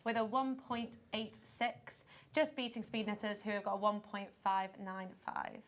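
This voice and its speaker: A woman at the track presenting the teams and reading out race times briskly. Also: mid-range voice, flat delivery. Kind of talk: live event commentary.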